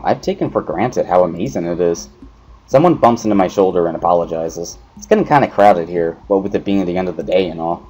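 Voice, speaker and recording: Casting: male let's play narrator, expressive first-person story reading over music. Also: sits low. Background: background music.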